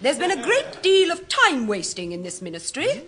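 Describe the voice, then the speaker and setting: An actress playing a fearsome, bossy efficiency expert in a radio comedy, stern and imperious, with a British accent. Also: female voice, high voice, wildly swooping pitch, moderate pace, bright, forceful tone, heavily acted. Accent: British English. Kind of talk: 1960s radio situation comedy acting.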